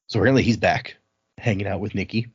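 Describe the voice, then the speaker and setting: A man's voice: fairly low pitch, fast, breathy edge, no room sound, lo-fi sound. A man hosting a podcast, chatting informally as he recaps a book and reads bits of it aloud. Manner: conversational, informal. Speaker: podcast host. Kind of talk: casual chat recapping and reading from a novel.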